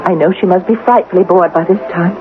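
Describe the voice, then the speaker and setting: A woman's voice: fairly low pitch, quick pace, close to an everyday voice. An actress playing a genteel, fretful young society lady in a radio drama, speaking in refined, hesitant phrases. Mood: fretful, placating. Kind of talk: 1980s radio drama acting. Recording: muffled, noisy old radio broadcast.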